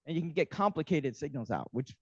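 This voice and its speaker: A man's voice: on the high side, expressive, quick pace, breathy edge, no echo. Man with a fading voice. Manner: hesitant, many ums and restarts. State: losing his voice. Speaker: lecturer. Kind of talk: academic workshop talk. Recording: dull, muffled lecture audio.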